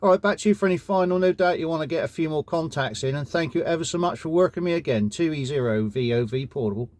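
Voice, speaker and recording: A man's voice: on the high side, quick pace, darkish tone. A man with an English accent chatting courteously as an amateur radio operator during a casual contact. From United Kingdom.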